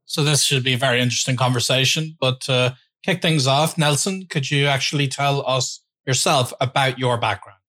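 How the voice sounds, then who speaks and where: A male co-host with a bright, forceful voice. He puts brisk, direct questions to his guest in a conversational interview. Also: mid-range voice, steady tone. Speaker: podcast co-host.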